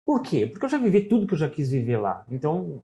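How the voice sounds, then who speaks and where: A talkative middle-aged Brazilian man with a São Paulo accent, a guest in a relaxed interview. His voice sits a little high for a man but sounds warm and mellow, and he tells his stories at length, tagging his sentences with "né?". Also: quick pace. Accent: São Paulo Brazilian Portuguese. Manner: storytelling, talkative, frequent "né?" tags. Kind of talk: casual interview conversation.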